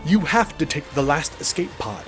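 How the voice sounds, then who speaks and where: A man's voice: a little high, normal speed, fairly bright tone, soft background music, lightly acted. A man acting a loving, self-sacrificing alien in an audio drama, solemn and tender. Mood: tender, resolute.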